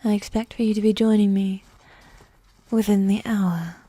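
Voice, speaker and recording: A woman playing a possessive, condescending evil warrior queen who dotes on her spouse, speaking in soft, breathy half-whispers in a medieval ASMR roleplay. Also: medium pitch, steady tone, no echo, natural voice. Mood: composed, affectionate. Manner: slow, regal, formal phrasing with sighs.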